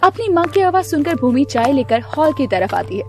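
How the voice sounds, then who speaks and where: High-pitched expressive woman storyteller. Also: normal speed. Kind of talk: scripted animated story narration.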